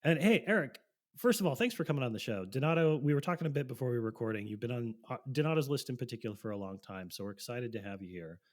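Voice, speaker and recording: A man co-hosting a podcast in casual chat, playful and swearing freely, his voice lowered by a lingering cold. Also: expressive, fast talker. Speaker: podcast co-host.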